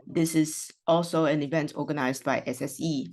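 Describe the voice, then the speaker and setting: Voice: mid-range voice, fairly even intonation, moderate pace, darkish tone. An interpreter voicing a host's welcome remarks and housekeeping notes, hesitating often with fillers and restarts.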